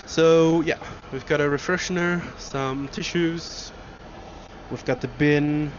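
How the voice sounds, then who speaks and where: A man's voice: fairly high pitch, steady tone, slow pace. A man giving a casual, rambling first-person travel review, thinking aloud inside an airliner cabin. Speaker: travel vlogger reviewing a flight. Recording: muffled with loud aircraft cabin noise.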